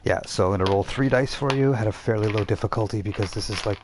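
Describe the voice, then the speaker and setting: A man narrating a tabletop roleplaying game as game master, in a halting, conversational way. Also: fairly low pitch, background noise.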